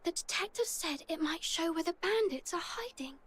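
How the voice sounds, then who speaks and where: A woman's voice: extremely high pitch, animated, normal speed. A woman voicing a sweet, eager little girl in a video game, with a girlish voice. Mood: eager, earnest. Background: background music.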